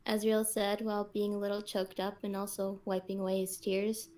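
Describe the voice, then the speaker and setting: A woman's voice: mid-range voice, completely flat delivery, moderate pace, darkish tone. A woman on a podcast, reading scripted story narration and chatting casually with the group.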